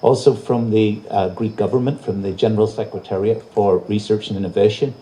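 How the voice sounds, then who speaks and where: A man giving a public lecture as an invited speaker, presenting slides in a halting, pausing delivery. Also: fairly low pitch, fairly even intonation, warm tone. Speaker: invited conference speaker, robotics researcher. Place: live talk at a festival venue. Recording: noisy live event recording.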